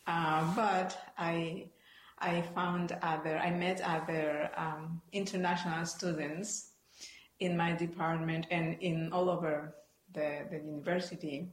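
A woman from Peru with a Peruvian Spanish accent speaks in a low, unhurried voice. She is thoughtful and often pauses, restarts or adds fillers. The recording is roomy and echoey, with faint noise behind her. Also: little pitch movement. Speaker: guest, Spanish professor. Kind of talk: conversational interview.

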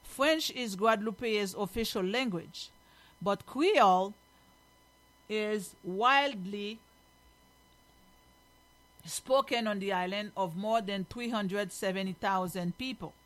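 A woman's voice: medium pitch, slow pace, pauses often, a bit bright, rough recording. Emphatic woman with a Haitian accent. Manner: emphatic, opinionated. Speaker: host. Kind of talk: reading news aloud with spoken commentary.

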